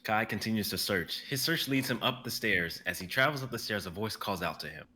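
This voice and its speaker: A young man narrating the stage directions of a dramatic reading in a steady, descriptive way. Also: on the low side, brisk, husky. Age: young adult.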